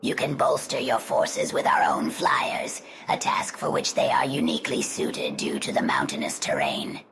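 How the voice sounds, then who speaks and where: A calculating undead spider sorceress, extremely low and gravelly, with swooping pitch and a whispery hiss. She speaks in formal, measured game-cutscene lines over music. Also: big pitch swings, full character voice. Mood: cold, satisfied. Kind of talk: fantasy game cutscene dialogue. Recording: loud music behind the voice.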